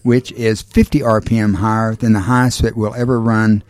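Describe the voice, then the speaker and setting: A man's voice: fairly low pitch, flat delivery, moderate pace, a little mellow, no echo. Man explaining technical details, dry sound. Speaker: aircraft designer being interviewed.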